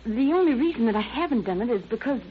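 An actress playing a polite, anxious but firm young shop clerk in an old radio drama. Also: female voice, fairly high pitch, animated, quick pace, phone-quality sound, close to an everyday voice. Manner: courteous, apologetic, earnest. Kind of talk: 1940s radio crime drama acting. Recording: thin band-limited old radio recording, hiss.

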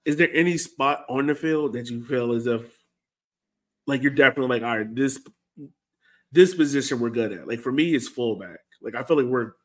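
A man's voice: medium pitch, fairly quick, fairly bright tone. Casual man chatting about sports. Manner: conversational, poses questions to co-host. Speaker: co-host of a soccer fan show.

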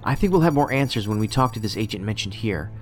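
An actor playing a pedantic, socially awkward federal investigator in a science fiction audio drama, speaking precisely and formally with a detached, musing manner. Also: male voice, lowish voice, expressive, quick pace, lightly acted. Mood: analytical, intent. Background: music under the voice.

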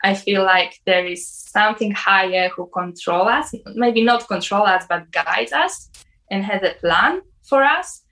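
A young woman from Poland, telling a personal spiritual experience in halting English with many fillers. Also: mid-range voice, animated, sharp tone, poor audio. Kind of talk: personal testimony.

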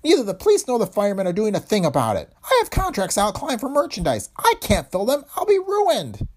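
A man voicing a small, furious older male factory owner with a shrill, high-pitched ranting voice. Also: sing-song, moderate pace, full character voice.

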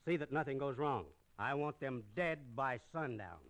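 Radio western mastermind, male actor: mid-pitched, cold, commanding, calculating banker villain. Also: close to an everyday voice. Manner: cold, bossy, deliberate. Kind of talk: old radio western acting.

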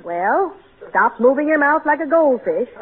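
A woman's voice: sits high, big pitch swings, a little slow. An actress playing a practical wife and mother in a 1940s radio comedy, exasperated and nagging but kind underneath. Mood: scolding. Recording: tinny, noisy 1940s radio recording.

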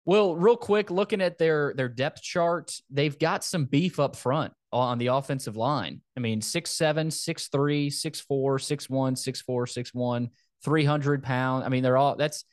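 A man hosting a sports podcast, talking casually through a football preview in a halting way, repeating words and phrases. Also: medium pitch, no room sound, clean studio sound.

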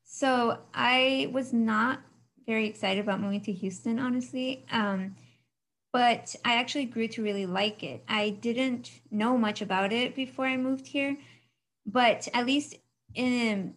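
Chatty woman from Mexico, somewhat high voice. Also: unhurried, rough recording. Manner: chatty, laughs often, trails off. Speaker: guest. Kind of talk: casual interview chat.

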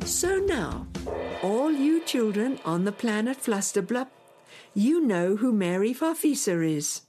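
A woman playing an animated storyteller, narrating a children's space radio play with dramatic scripted delivery. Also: fairly high pitch, unhurried, soft background music. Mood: puzzled, dramatic. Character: animated storyteller addressing children on another planet.

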